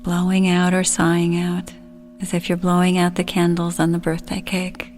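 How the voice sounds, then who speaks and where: A woman leading a guided relaxation, speaking soothingly and gently in short, unhurried phrases. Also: fairly low pitch, completely flat delivery.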